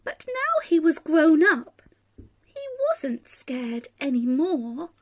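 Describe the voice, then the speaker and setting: A woman reading a children's bedtime story expressively, with dramatic pauses and emphasis. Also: high voice, very animated, a little mellow.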